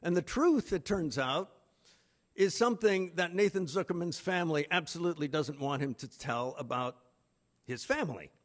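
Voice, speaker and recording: A man giving a literary lecture in a deliberate, emphatic manner with pauses between phrases. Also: a little high, expressive. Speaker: conference speaker, literary lecturer. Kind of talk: literary lecture with read-out quotations.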